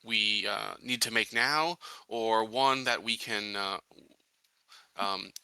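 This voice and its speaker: A man playing a practical colony security chief in a tabletop game, explaining things in a halting way as he reasons aloud. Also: lowish voice, pushed and bright, clear, resonant tone, heavily acted. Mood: earnest, practical. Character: practical male colony security chief addressing his council. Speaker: player in a tabletop roleplaying game. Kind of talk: improvised in-character tabletop roleplay.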